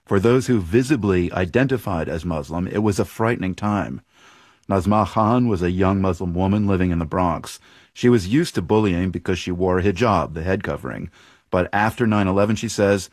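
Low-voiced male documentary narrator, close and dry. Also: moderate pace, darkish tone, dry recording. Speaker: narrator and host of a radio documentary. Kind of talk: scripted narration.

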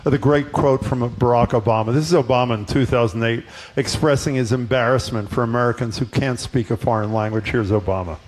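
A man hosts a broadcast interview, introducing his guest with light, self-deprecating banter. His voice sits at an ordinary middle pitch. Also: a little fast, low-quality recording. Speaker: show host.

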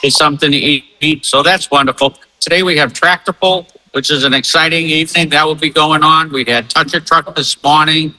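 A man's voice: fairly high pitch, fairly even intonation, brisk, few pauses, bright, forceful tone, low-quality recording. A man speaking as an event organizer in an interview, upbeat and emphatic, enthusiastically promoting it. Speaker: fair organizer and spokesman.

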